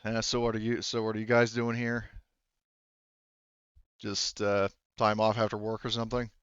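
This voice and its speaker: A man playing a gruff, unsociable army staff sergeant in a tabletop game, speaking in terse, grudging phrases. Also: fairly low pitch, monotone, normal speed, fairly bright tone, no echo, muffled recording, natural voice. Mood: curt, grudging.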